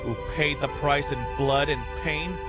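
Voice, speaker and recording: A man's voice: on the high side, fairly even intonation, a little slow, close to an everyday voice. A man playing a loyal, battle-hardened, earnest soldier in a dramatic comic dub. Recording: loud music over voice.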